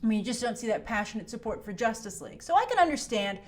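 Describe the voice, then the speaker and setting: A woman's voice: fairly high pitch, sing-song, fast. A woman hosting an online film commentary show, speaking in an emphatic, conversational way and often checking in with rhetorical questions. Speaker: YouTube host and film commentator. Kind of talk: opinionated commentary to camera.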